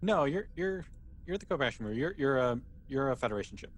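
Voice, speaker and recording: Man game-mastering over clear background noise. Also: mid-range voice, lively intonation, fast. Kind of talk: improvised tabletop game narration, casual.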